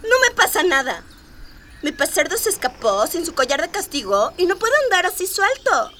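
A woman's voice: extremely high pitch, sing-song, normal speed, sharp tone, slight background noise, exaggerated voice. A woman playing a spoiled, haughty young princess in a comic radio play, whiny, imperious and dramatic. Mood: irritated. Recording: distant miking with music underneath.

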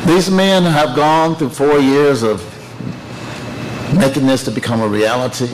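An older man speaks slowly and unhurriedly, his voice catching with emotion as he repeats phrases and gathers himself. He sounds like he is speaking at a public unveiling. There is clear background noise, as at an outdoor event. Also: mid-range voice, poor audio. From Tennessee, United States. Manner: emotional, halting, repeats phrases, laughs through tears. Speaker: artist unveiling his work.

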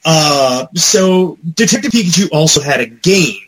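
A man co-hosting a podcast in casual chat about pop culture, talking in an animated, rambling, mildly profane fan style. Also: a little high, a little slow, a little hiss or hum, rough recording. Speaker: podcast co-host.

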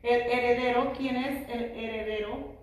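Woman preacher, second-language Spanish speaker, very slow, prayerful, distant mic, faint room ambience. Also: on the high side, fairly even intonation, slight background noise. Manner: slow, prayerful, sprinkles amens and hallelujahs. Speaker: preacher teaching a Bible study. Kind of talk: church Bible study sermon with prayer. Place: church hall. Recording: very echoey room, voice far from mic.

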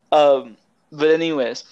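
A man's voice: fairly high pitch, darkish tone, clean tone, muffled recording. Young guy chatting on a podcast, kinda hesitant, rambles through his stories. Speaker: podcast co-host. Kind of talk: casual chat, personal storytelling.

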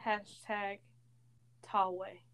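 A woman's voice: mid-range voice, little pitch movement, extremely slow, fairly bright tone, smooth. Teasing young woman podcast co-host. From Tennessee. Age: 20s. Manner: teasing, playful, giggly. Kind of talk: casual chat.

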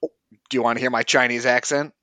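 A man's voice: mid-range voice, steady tone, fast talker, sharp tone, dry recording, close to an everyday voice. A man playing a clueless, chatty male office coworker in a comedy sketch, rambling and tactless. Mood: eager.

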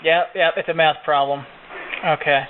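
Deadpan prank caller on the phone. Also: male voice, fairly high pitch, moderate pace. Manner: deadpan, mock-official survey questions. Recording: phone-like sound, faint noise.

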